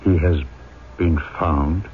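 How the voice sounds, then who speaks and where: An actor playing a dignified, guarded French mayor with a hidden past in a radio drama, speaking with dramatic control. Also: male voice, low voice, steady tone, slow, a little hiss or hum. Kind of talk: old-time radio drama acting. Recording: tinny, narrow vintage radio recording.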